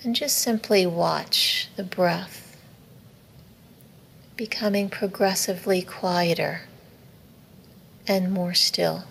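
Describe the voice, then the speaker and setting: A woman leads a guided relaxation at a very slow, measured pace. Her voice sits fairly low with a gravelly creak, and her phrases end softly on breath. She gives each instruction in a soothing way. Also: steady tone. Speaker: yoga nidra meditation teacher. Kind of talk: guided meditation. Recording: faint background noise.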